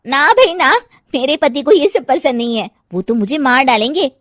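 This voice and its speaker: Woman acting a plaintive, high-voiced housewife. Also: expressive, normal speed, a bit bright, dry recording, studio quality. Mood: fearful. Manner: plaintive, complaining. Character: worried, timid neighbourhood housewife. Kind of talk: cartoon moral-story acting.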